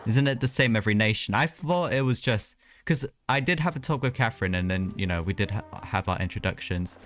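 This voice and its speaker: Low-pitched man live-streaming a game. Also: lively intonation, a little fast, fairly bright tone. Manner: easygoing, chatty, reacting aloud. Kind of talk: casual live gameplay commentary and chat.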